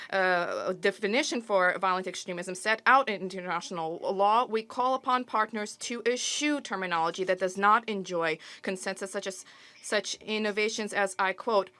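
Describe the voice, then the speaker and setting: A woman interpreting a formal diplomatic statement live, in a halting, stop-and-start delivery with self-corrections. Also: medium pitch, fairly bright tone, smooth. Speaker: simultaneous interpreter.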